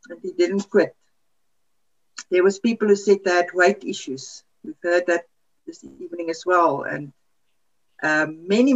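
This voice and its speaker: Woman with a lo-fi sound. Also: lowish voice. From South Africa. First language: Afrikaans. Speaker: podcast panelist. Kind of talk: casual chat.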